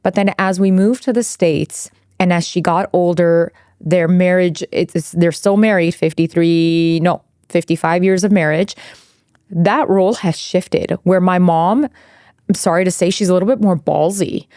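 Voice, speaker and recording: Woman co-host, 40s, from Iran: lowish voice, candid, swears freely, dry close sound. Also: fairly even intonation, normal speed, mellow, no echo. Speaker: podcast co-host. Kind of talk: casual chat.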